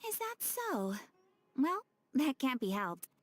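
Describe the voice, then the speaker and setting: A woman's voice: high-pitched, sing-song, slow pace, dry recording, exaggerated voice. A woman voicing a clever young female guide in a video game, playful and slyly coaxing. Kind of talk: animated video game voice acting. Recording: background music under the voice.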